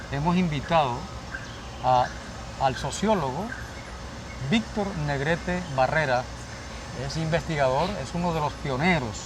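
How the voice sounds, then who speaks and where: A man hosting a cultural talk program, speaking in a formal, presenter-like way. His voice is fairly high for a man, and there is clearly audible noise behind him. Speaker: interview host. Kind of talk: program introduction and interview questions.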